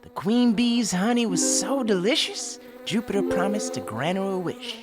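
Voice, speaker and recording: A woman narrating scripted fables with deliberate, theatrical storytelling. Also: medium pitch, animated. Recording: loud music behind.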